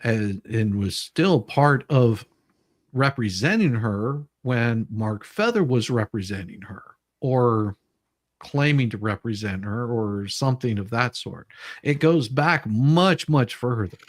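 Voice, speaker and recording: Male livestream host, mid-pitched voice, casual commentary, rambling and repetitive, close dry recording. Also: animated, takes it slowly, no room sound. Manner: rambling, repeats phrases, trails off mid-sentence.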